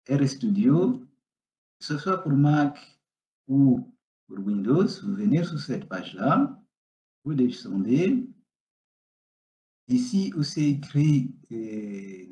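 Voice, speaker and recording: Instructor, male, native Portuguese speaker; slow, hesitant walkthrough, mellow tone, roomy lo-fi recording. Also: mid-range voice. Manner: unhurried, step-by-step, frequent hesitations. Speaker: data science instructor. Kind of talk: screen-recorded software tutorial. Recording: roomy, dull lo-fi sound.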